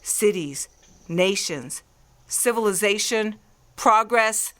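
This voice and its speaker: A woman's voice: mid-range voice, expressive, slow pace, fairly bright tone. A woman playing a fanatical, scheming artillery woman in an audio drama about an invasion, talking in a cocky, folksy, ranting way. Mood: grim, intense. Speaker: acting class student.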